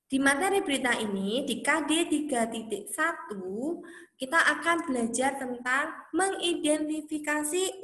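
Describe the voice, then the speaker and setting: A woman schoolteacher speaks in a fairly high voice in a roomy, echoing space. She talks to children in a chatty, encouraging way, explaining the lesson and addressing them directly. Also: a bit bright. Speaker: school teacher. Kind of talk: recorded classroom lesson for children. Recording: echoey, roomy sound.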